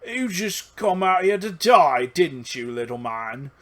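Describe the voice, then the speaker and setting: A man voicing a cruel, sneering male goblin boss in a fantasy game, drawing out his words slowly. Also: a little high, big pitch swings, voice pushed up. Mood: taunting. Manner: slow, drawn-out gloating delivery.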